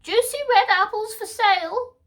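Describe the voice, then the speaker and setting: A cackling old peddler witch, as a woman reading aloud puts her on, her voice pitched up high and thin. She wheedles and coaxes like a storybook villain. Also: moderate pace, a bit bright. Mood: wheedling.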